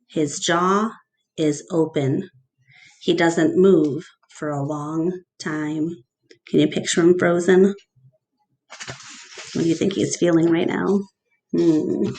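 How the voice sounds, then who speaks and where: A woman teacher reading a storybook aloud to her class in a deliberate, expressive way, addressing the children directly. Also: on the low side. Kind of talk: storybook read-aloud narration with questions to children.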